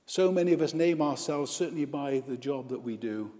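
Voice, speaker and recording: A male priest and hospital chaplain preaching a baptism sermon, slow and emphatic, with repeated lists. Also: mid-range voice, mellow. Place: church with long reverb. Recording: reverberant church hall.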